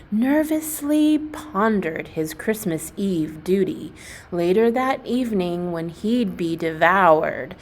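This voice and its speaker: A woman reading a storybook aloud to children, expressively and deliberately, phrase by phrase. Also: medium pitch, a little mellow.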